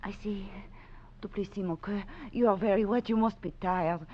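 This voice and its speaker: A woman's voice: medium pitch, fairly even intonation, normal speed, natural voice. An actress playing an anxious, evasive French woman coaxing her lover, in theatrical golden-age radio drama style. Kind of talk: 1940s-50s American radio drama acting. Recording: muffled vintage radio recording.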